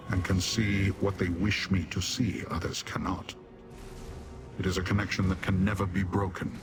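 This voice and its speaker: A man voicing a proud, stern Dremora demon warrior in a fantasy video game, speaking formally and with measured disdain. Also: low-pitched. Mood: solemn pride. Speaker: non-player character in fantasy game. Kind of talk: scripted video game dialogue.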